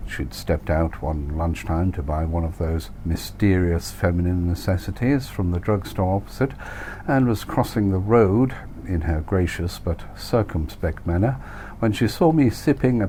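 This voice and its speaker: Male author-narrator, very low and soft-edged, wry mannered audiobook read with noticeable background noise. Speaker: author reading his own novel. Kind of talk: scripted audiobook narration, wry first-person. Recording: clear steady background noise.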